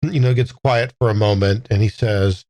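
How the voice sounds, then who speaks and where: A man playing a tabletop game, haltingly improvising what his character does in the third person. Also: fairly low pitch, mellow, no room sound. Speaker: player in a tabletop game podcast.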